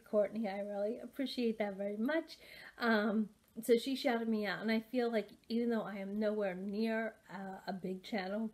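A woman's voice: medium pitch, a little mellow. A woman in her forties from the United States, rambling casually about books in a home study with many fillers and restarts. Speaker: booktuber. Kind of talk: casual rambling book vlog. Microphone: webcam at desk.

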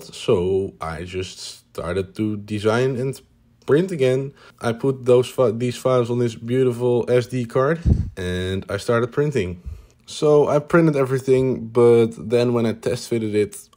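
Mellow-voiced man narrating his hobby build. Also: mid-range voice. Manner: hesitant, many "uh" fillers, plain upbeat remarks. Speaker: hobby builder showing his home cockpit project. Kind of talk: casual voice-over narration.

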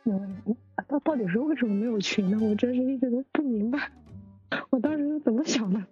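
A woman's voice: fairly high pitch, fairly quick. A woman chatting casually in a group, often repeating her first words in a slight stammer. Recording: dull lo-fi voice chat with music.

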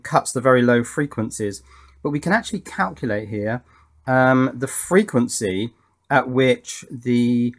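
A man giving a casual, hands-on technical explanation in an informal, methodical way, as a tutorial host. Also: medium pitch. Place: indoors at a workbench.

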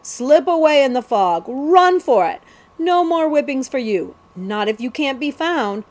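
A woman's voice: on the high side, big pitch swings, a little slow. Woman as a scrappy, wary street boy. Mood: urgent scheming. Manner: plain-spoken, colloquial.

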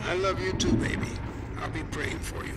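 A man's voice: a little high, steady tone, moderate pace, very noisy. An actor voicing a worried, loving older father in a video game, urgent and pleading over a video transmission. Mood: reluctant, tender. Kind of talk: dramatic video game acting. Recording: loud game music and effects behind.

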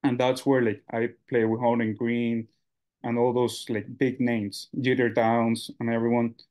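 A man's voice: medium pitch, little pitch movement, a little slow, darkish tone. A young man from Ecuador, a baseball coach, answering interview questions in a loose, rambling way with many fillers.

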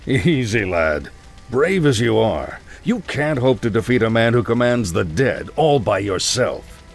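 An actor playing a stern, seasoned older paladin mentor with a commanding, admonishing manner in a video game. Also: male voice, mid-range voice, sing-song, a little slow, gravelly, faint music behind. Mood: stern caution. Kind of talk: video game cutscene acting.

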